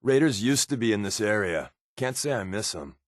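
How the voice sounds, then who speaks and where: A man's voice: on the low side, lively intonation, normal speed, no echo, natural voice. A man voicing a sardonic secret agent in a post-apocalyptic video game, with dry, quippy, playfully sarcastic delivery. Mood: wry, casual. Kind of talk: in-game companion barks and commentary.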